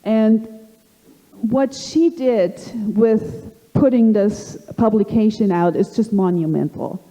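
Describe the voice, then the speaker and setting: A middle-aged woman with a slight German accent hosting a live panel, halting and full of fillers. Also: fairly high pitch, dark tone, muffled recording. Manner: halting, many fillers and restarts. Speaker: panel host. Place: conference hall. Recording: long hall reverb.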